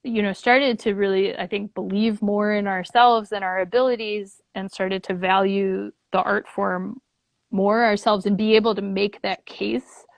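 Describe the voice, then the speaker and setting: A woman's voice: mid-range voice, fairly even intonation, a little slow, gravelly, no room sound. Earnest woman in conversation, dry close sound. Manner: earnest, thinking aloud in long unfinished phrases. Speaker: guest, puppet theater leader. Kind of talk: casual interview conversation.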